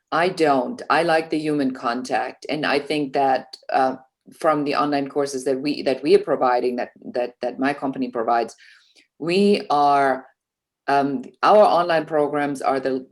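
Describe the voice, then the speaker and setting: A woman with a notably low voice, speaking as a guest on a business podcast. She talks fluently and confidently, often adding "you know" as she explains her points. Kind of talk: casual interview chat.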